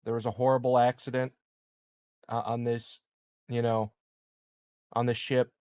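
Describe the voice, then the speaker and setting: A man playing a tabletop roleplaying game over voice chat, stammering through his sentences. He swears casually and delivers his jokes deadpan. His voice is dry and close to the mic. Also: mid-range voice, a little slow, no echo. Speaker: player.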